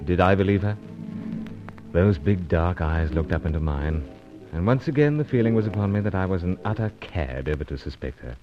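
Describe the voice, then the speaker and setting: A lo-fi old radio serial recording of a gentlemanly secret agent. His voice is low but moves around a lot, with clipped, understated, slightly hesitant phrasing. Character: gentlemanly pipe-smoking British secret agent, wry and modest. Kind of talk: old-time radio serial acting and narration.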